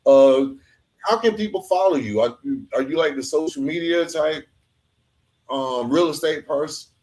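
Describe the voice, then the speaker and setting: A man's voice: a little high, moderate pace, a little mellow, rough recording. Earnest, informal male podcast host. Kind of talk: conversational interview.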